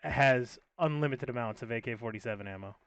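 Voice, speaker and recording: A man's voice: mid-range voice, a little fast, no room sound. A man hosting a casual podcast chat, easygoing and agreeable, stumbling a little as he starts sentences. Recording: dull dry lo-fi audio.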